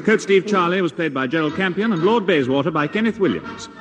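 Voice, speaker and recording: A man's voice: high voice, animated, fairly quick, lo-fi sound, noisy background. A man making formal scripted announcements as a 1950s radio announcer. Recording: old radio recording under loud music.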